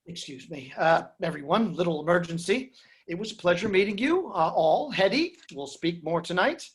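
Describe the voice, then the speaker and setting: A man's voice: sits high, animated. A man playing a brash, flustered Hollywood studio boss who stammers his way through a script table read. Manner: stammering, fast-switching, salesman-like.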